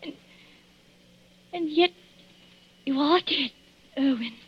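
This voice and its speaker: An actress playing an anguished, defiant wife in a radio horror drama, speaking with dramatic, emphatic repetition. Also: female voice, sits high, slow pace. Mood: anguished, desperate. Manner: dramatic, emphatic, repeating herself. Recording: muffled old radio drama recording.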